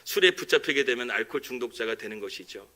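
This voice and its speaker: A male pastor with a bright, forceful voice at a middling pitch gives a Sunday sermon. He speaks earnestly yet conversationally, like someone explaining things directly to the people in front of him. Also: lively intonation, fast. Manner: earnest, conversational, chuckles at his own jokes. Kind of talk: sermon with anecdotes, jokes and prayer. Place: church service, preaching from the pulpit.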